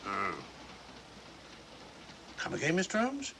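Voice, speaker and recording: An actor playing a dogged, sceptical police detective in a 1940s film, blunt, questioning and suspicious. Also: male voice, high-pitched, wildly swooping pitch, slow, bright, forceful tone, heavily acted. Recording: noisy old 1940s film soundtrack.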